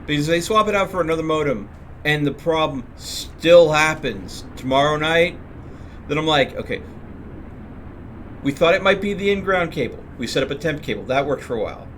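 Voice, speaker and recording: A man's voice: a little high, expressive, moderate pace, a bit bright. A man talking casually as he streams a game, commenting on his play and explaining things step by step in a rambling way. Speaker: live streamer. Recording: clear background noise.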